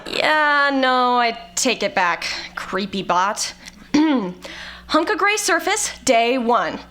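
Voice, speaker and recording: Girl playing a sarcastic proud scientist in an audio drama, high voice, dry delivery. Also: big pitch swings, drawn-out words, fairly bright tone, heavily acted. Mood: snarky. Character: snarky female scientist, proud and dryly sarcastic.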